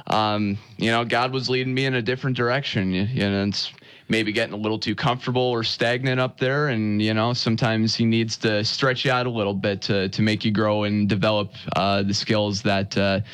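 A man's voice: on the low side, fairly even intonation, fairly bright tone, clean tone, no room sound. A young man, a sports broadcaster, answering interview questions earnestly, stopping and restarting his sentences a lot. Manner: earnest, halting, many restarts. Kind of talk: radio interview guest.

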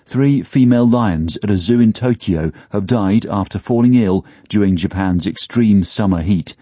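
A man's voice: lowish voice, normal speed, dark tone, slightly rough, dry recording, studio quality. A man reading a scripted news bulletin as a newsreader.

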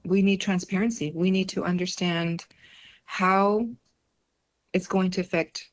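A woman's voice: on the low side, flat delivery, measured pace. A woman born in Mexico whose first language is Spanish, answering interview questions as a political candidate, earnest and repeating phrases for emphasis.

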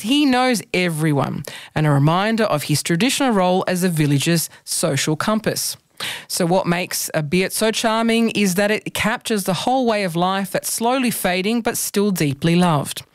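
A woman's voice: on the low side, animated, normal speed, no echo, studio quality. Woman reading a radio word segment. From Australia. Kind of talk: scripted reading on community radio.

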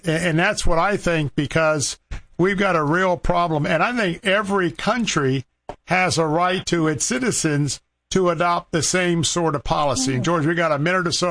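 An American man speaks as a talk radio host in a fairly high voice, recorded close and dry. He argues his opinions haltingly, often repeating the start of a phrase before pushing on with it. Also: a bit bright, no room sound. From Tampa, Florida, United States. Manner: halting, repeats and restarts phrases while making a point.

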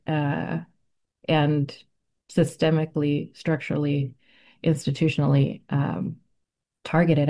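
A middle-aged woman and native Spanish speaker giving reflective interview answers as an educator, speaking in an earnest, deliberate, articulate way. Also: low voice, mellow. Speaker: ethnic studies educator, interview guest.

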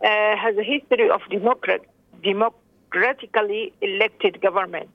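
A middle-aged woman talks as a guest over the phone, hesitant and full of fillers. Her voice is gravelly and sits at a middle pitch. The line is tinny and dry. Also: steady tone, moderate pace, dry recording. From Sudan. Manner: hesitant, many fillers and restarts. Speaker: interview guest. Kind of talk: phone interview.

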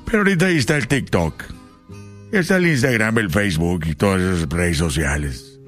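A man's voice: low-pitched, lively intonation, a little fast, a bit bright. A man doing a comedic impression of an old Mexican ranchera singer, sermonizing his brother repetitively in a Mexican accent. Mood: preachy, concerned. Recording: music playing behind the voice.

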